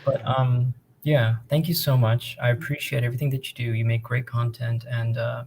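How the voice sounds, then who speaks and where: A male caller talks casually in a put-on accent so no one will recognise him. His voice is mid-pitched and gravelly. He speaks over a laptop mic, with faint noise behind him. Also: little pitch movement, normal speed, a little mellow. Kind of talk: casual call-in chat. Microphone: laptop mic over a call.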